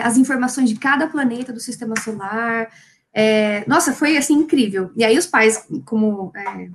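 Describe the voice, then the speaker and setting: A woman, a science communicator telling a story in a casual interview, gushing and full of fillers. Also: sits high.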